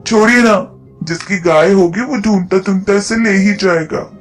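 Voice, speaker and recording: A woman's voice: mid-range voice, moderate pace, faint music behind, close to an everyday voice. A performer playing a kindly, insistent village wife, a woman in a cartoon story. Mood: caring. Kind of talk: Hindi cartoon story dubbing.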